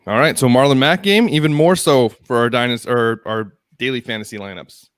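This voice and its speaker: A young man co-hosts a casual live sports stream, moving the talk along briskly and ribbing his partner. His voice sits at an everyday middle pitch and sounds close and dry, with no room around it. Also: no echo. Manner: upbeat, brisk show-running, teasing. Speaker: co-host. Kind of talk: casual fantasy football chat.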